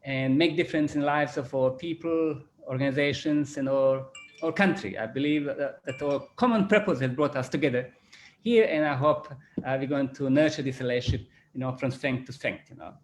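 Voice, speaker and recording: Croatian-accented man, halting and repetitive. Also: medium pitch, slight background noise. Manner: halting, repeats words, many "you know" fillers. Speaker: business school chairman. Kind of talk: closing remarks at an online panel.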